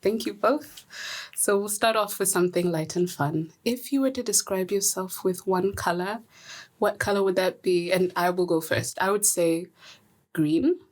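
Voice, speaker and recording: A woman's voice: mid-range voice, moderate pace. Zambian-born woman hosting a podcast. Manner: friendly, laughs often. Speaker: podcast host. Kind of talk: casual podcast conversation.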